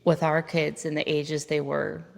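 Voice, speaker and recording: A woman's voice: sits low, monotone, measured pace, vocal fry, in a large hall. A woman from Montana, a mother of four, talking casually in an interview, chatty and prone to restarting her sentences. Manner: chatty, trails off and restarts, talks over partner.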